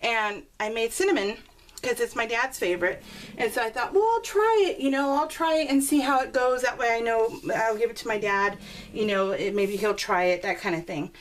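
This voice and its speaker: A woman chatting casually and rambling as a craft video host, giggly and self-deprecating, telling stories with animated exclamations. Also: a little high, moderate pace. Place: at home, voice recorded live while crafting. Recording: noisy home recording with clicks.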